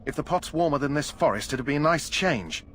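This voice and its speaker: A man's voice: on the high side, expressive, a little fast, a bit bright, a little hiss or hum. A man playing a reluctant, anxious recruit in a fantasy game, plain-spoken and complaining. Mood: uneasy. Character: reluctant, anxious male knight recruit, grumbling. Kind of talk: fantasy video game acting.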